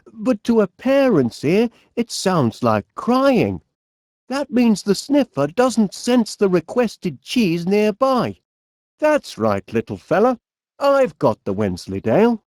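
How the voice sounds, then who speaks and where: A man voicing a genial, polite, eccentric middle-aged inventor with a Northern English accent in an animated comedy game. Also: high voice, no room sound, studio quality, full character voice. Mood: gentle, chatty. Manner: polite, quaint British turns of phrase, drawn-out words. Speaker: video game voice actor.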